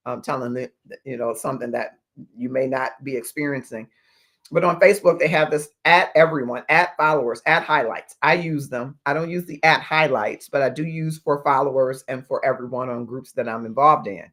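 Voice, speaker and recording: A man in his fifties hosting a motivational livestream as a life coach, speaking in deliberate, emphatic phrases with pauses. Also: mid-range voice, fairly bright tone. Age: 50s. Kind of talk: motivational livestream talk to viewers.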